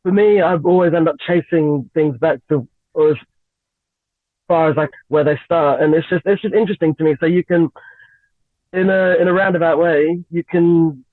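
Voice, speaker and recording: A woman's voice: low voice, moderate pace, no echo. Giggly young woman on a phone line. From Nigeria and England. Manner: giggly, hesitant with many fillers. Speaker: guest singer. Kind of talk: radio interview, casual chat. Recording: tinny phone-line sound, dry.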